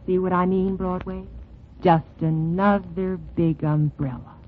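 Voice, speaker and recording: A woman's voice: lowish voice, steady tone, unhurried, natural voice. An actress playing a world-weary, sardonic young Broadway woman, dry and cynical, in 1940s radio style. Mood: sardonic, challenging. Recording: old radio recording, phone-like band.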